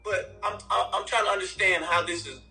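Male, thirties, piercingly bright voice, emphatic casual phone talk with some stammered repeats, faint music behind. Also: sits high, steady tone, fairly quick, vocal fry. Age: 30s. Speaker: stand-up comedian being prank called. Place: plain room, on a video call. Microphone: phone on a video call.

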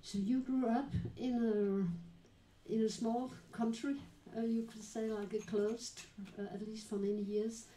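A woman moderating a live panel talk, introducing guests and asking questions in a measured, explanatory way with many pauses. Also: a little high, level tone, warm tone. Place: small dim venue with live audience. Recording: echoey room recording.

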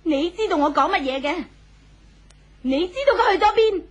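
A woman's voice: extremely high pitch, animated, normal speed. A woman acting a lovesick, accusing young wife in a 1970s radio drama, wailing in Hong Kong Cantonese. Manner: wailing, accusing, emotional delivery.

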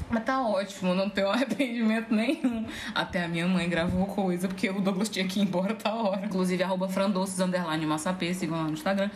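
Informal woman, slightly echoey room. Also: fairly high pitch. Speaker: podcast guest, voice actress. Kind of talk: casual podcast conversation. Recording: roomy echo, faint background noise.

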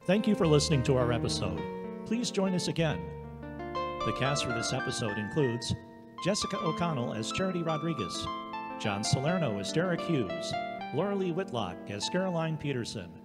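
A man's voice: a little high, very animated, normal speed. A man narrating a scripted audio drama. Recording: echoey reverb, loud guitar music behind. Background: guitar music.

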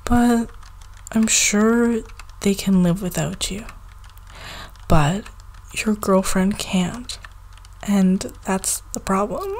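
Woman acting as clingy girlfriend, ASMR roleplay, very unhurried pace, soft halting speech, playful, pleading, affectionate. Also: mid-range voice, a little hiss or hum. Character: needy, playful, affectionate young girlfriend craving cuddles.

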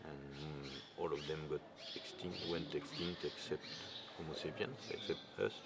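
A man's voice: extremely low pitch, fairly even intonation, moderate pace. A man in his forties from France with a French accent, answering interview questions as an archaeologist. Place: open cave mouth at a dig site. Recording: noisy outdoor field recording.

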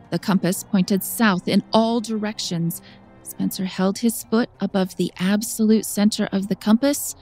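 A woman's voice: mid-range voice, vocal fry, darkish tone. A woman narrating a story for an audiobook in a scripted style.

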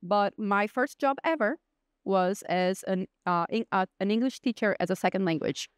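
A woman's voice: mid-range voice, moderate pace, smooth, dry recording, clean studio sound. A woman from Brazil in her thirties, a native Portuguese speaker with a Brazilian accent, chatting as a podcast guest with lots of fillers and restarts. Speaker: guest security expert. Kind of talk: casual podcast interview.

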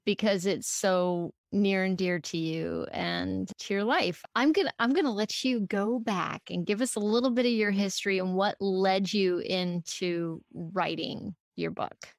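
A woman's voice: mid-range voice, unhurried, gravelly, few pauses, no echo, clean studio sound. A woman hosting a podcast, chatting casually and effusively with her guest in a friendly interview style. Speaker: podcast host.

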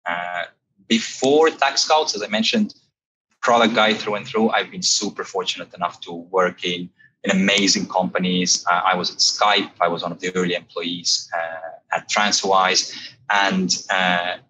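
A man's voice: deep voice, fairly even intonation, moderate pace, pushed and bright, a little hiss or hum, low-quality recording. A man in his thirties with an Estonian accent, a startup founder speaking on a video call, thoughtful and full of "uh" fillers. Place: home room indoors. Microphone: earbuds on a video call.

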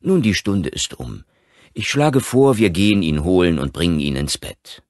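A man voicing a stern, excitable father in a horror audiobook, with a forceful, insistent manner. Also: low-pitched, moderate pace, no room sound, clean studio sound. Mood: firm, confident. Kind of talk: audiobook character acting.

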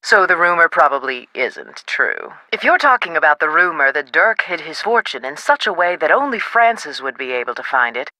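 A woman playing a self-assured, scholarly researcher in a video game, precise and theatrical with deliberate emphasis. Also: low voice, very animated, bright, forceful tone, no echo, heavily acted, poor audio. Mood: intrigued, knowing.

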